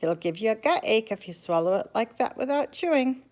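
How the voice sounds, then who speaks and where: A woman voicing a jolly, excitable fat male shopkeeper in a storybook reading. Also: on the high side, a little fast, voice pushed up, natural voice. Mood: pleasant. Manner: loud, excited yelling.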